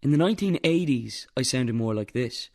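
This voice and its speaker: A man doing his gravelly younger self. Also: mid-range voice, expressive, moderate pace, a little mellow, voice pushed up, close to an everyday voice. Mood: innocent.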